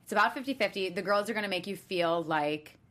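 A woman's voice: medium pitch, fairly quick, a bit bright. A woman hosting a TV after-show, recapping and discussing in casual conversation. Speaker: host of a reality TV after-show. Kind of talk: casual panel chat and recap.

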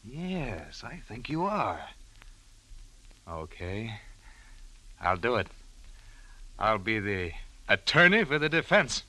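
A man's voice: lowish voice, big pitch swings, slow, long pauses, background noise. A man playing a calculating, crooked attorney in a 1940s radio drama. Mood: callous, calculating.

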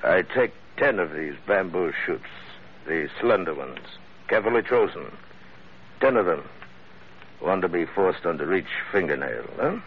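An actor playing a suave middle-aged villain in an old-time radio drama, speaking in courtly, ornate sentences with polite menace. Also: male voice, sits low, expressive, breathy edge, exaggerated voice. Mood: silky menace. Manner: courtly, unhurried, ornate formal speech. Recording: muffled, phone-like old radio recording.

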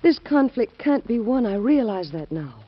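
A troubled, hesitant wife. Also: female voice, fairly high pitch, sing-song, normal speed. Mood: anxious. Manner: soft, hesitant. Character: troubled young wife, gossip's target. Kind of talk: 1940s radio acting. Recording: dull lo-fi old radio recording.

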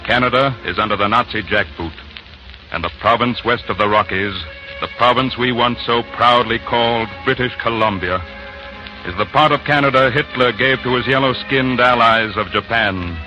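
An actor playing a grave, bitter narrator of an occupied homeland in a 1940s radio drama, speaking in a deliberate, rhetorical way. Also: male voice, mid-range voice, lightly acted, poor audio. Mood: solemn, bitter. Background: music.

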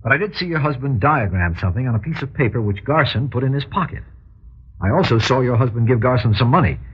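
An actor playing an earnest, insistent private detective in an old-time radio drama, speaking in a husky, urgent, persuasive way with formal address. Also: male voice, medium pitch, animated, fast. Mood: grave warning. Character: earnest, insistent male private detective warning his client. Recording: dull, lo-fi vintage radio recording.

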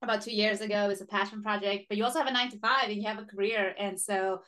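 A young woman hosts an interview, her voice sitting at an ordinary middle pitch. She asks her questions in a chatty way, often leaning on "like" and "you know". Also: fairly even intonation, rapid-fire, a bit bright. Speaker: podcast host. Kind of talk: casual interview conversation.

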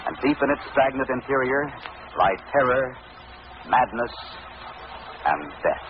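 A man's voice: medium pitch, very animated, measured pace. A man narrating a 1940s radio drama in a portentous, dramatic manner. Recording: muffled, noisy old radio recording.

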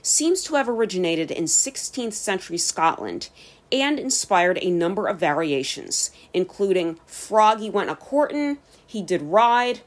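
A woman with an American accent hosting a podcast, reading scripted narration in careful, clear phrases. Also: medium pitch, very animated, a little slow. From United States. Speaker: podcast host and storyteller.

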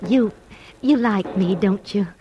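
Prim, halting, lonely spinster. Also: female voice, medium pitch, muffled recording, background noise. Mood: tender, needy. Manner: hesitant, halting, earnest. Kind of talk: 1950s radio drama acting.

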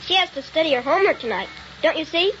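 A woman's voice: sits high, lively intonation, fast talker, a bit bright. A woman playing an eager, pleading young schoolboy in an old-time radio drama, polite but persistent. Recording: muffled, noisy old radio recording.

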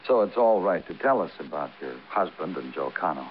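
An actor playing a world-weary big-city detective, blunt and brooding, in a 1950s hardboiled radio crime drama. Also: male voice, deep voice, sing-song, brisk, slight background noise. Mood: pressing. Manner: blunt questioning, brooding poetic narration. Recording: dull old radio broadcast.

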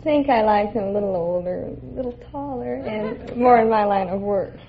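A woman's voice: mid-range voice, normal speed. A young woman answering politely as a contestant on a classic radio quiz show. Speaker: quiz contestant, airline hostess. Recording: dull, noisy vintage radio recording. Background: studio audience.

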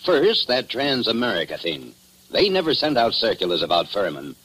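An actor playing a gruff, suspicious and confrontational small-town official in old radio drama style. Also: male voice, on the low side, huge pitch swings, moderate pace, fairly bright tone, full character voice. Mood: irritated, suspicious. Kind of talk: 1940s radio drama acting. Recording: noisy old radio broadcast recording.

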